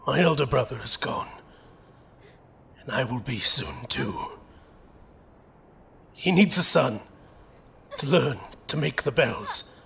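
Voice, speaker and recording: A man playing a gentle, resolute young soldier captain who is dying, speaking weakly and haltingly in an audio drama. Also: medium pitch, lively intonation, heavily acted. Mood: pleading, grieving. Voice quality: weak, creaky, breathy pauses. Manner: halting, laboured delivery.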